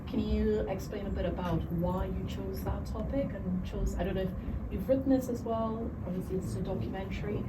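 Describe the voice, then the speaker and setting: A woman hosting a festival Q&A, asking long, rambling, loosely worded questions. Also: medium pitch. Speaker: Q&A host. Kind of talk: festival Q&A moderating. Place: cinema event room. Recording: echoey, distant, very noisy.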